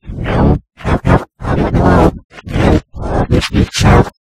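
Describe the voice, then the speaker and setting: A synthetic male voice playing a demonic grim reaper creature, harsh and crackling through heavy distortion, in a slow chant. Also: a little mellow, dry recording. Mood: menacing growl. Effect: heavy distortion voice effect.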